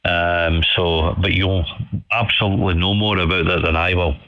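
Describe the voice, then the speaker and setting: A man's voice: low voice, steady tone, moderate pace. A man chatting casually and in a friendly, rambling way over a ham radio transmission with muffled audio. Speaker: amateur radio operator. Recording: dull band-limited ham radio audio.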